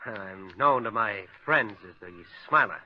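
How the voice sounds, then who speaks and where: A man's voice: on the low side, unhurried, no echo. An actor playing a polite, chatty but sinister gunman in a 1940s radio drama. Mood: politely evasive. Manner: mild, courteous chatter that turns to menace. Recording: dull lo-fi 1940s radio recording.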